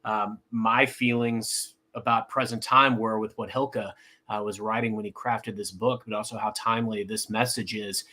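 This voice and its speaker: Guy hosting a podcast, bright tense voice, amped up, lots of ums. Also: fairly low pitch, steady tone, normal speed. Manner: eager, with many fillers and restarts. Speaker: podcast host. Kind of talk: podcast introduction and chat.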